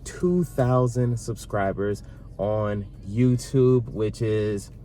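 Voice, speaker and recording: A man in his thirties co-hosting a casual sit-down Q&A with his partner, talking in a relaxed, rambling way. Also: fairly low pitch, very slow. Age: 30s. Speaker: vlog co-host.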